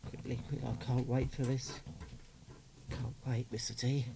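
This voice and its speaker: A man's voice: mid-range voice, level tone, moderate pace, vocal fry, very noisy. A man giving casual, doting unboxing commentary as a hobbyist, chatting and muttering to himself as he works. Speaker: radio hobbyist vlogger.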